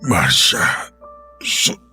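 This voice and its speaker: A man playing a weak old cheating husband after a stroke in a Filipino radio drama, apologetic, halting and stammering. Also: sharp tone, soft background music, heavily acted. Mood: feeble, apologetic.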